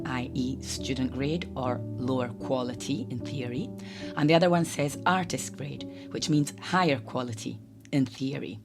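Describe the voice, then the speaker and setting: A woman hosting a watercolour channel, talking in a hoarse, croaky voice from a cold as she narrates a chatty tutorial voice-over. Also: rumbling low. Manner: chatty, explanatory, lightly humorous. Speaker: art channel host. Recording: voice-over with guitar music behind.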